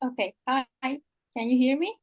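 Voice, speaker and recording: A woman's voice: high voice, a little slow. A young woman introducing herself as a volunteer on an online webinar, hesitant and full of fillers. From Turkey. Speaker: volunteer participant.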